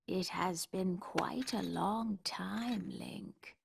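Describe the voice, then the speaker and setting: An actress voicing an ancient, wise elder woman in a video game cutscene, with a creaky, husky, whispery voice and a slow, hushed delivery. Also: medium pitch, heavily acted. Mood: tender. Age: older.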